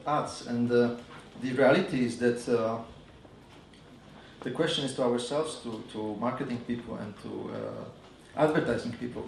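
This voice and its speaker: A man with a Polish accent giving a conference talk on advertising, halting and full of fillers and restarts. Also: mid-range voice, creaky, slight background noise. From Poland. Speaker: advertising agency speaker. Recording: echoey room from a distance.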